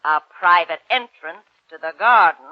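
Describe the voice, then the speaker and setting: A woman's voice: fairly low pitch, moderate pace, lightly acted. An actress playing a sly, nosy old landlady who needles and teases in a 1940s radio drama. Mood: sly, needling. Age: older. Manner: needling, insinuating, cackling. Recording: muffled 1940s radio broadcast recording.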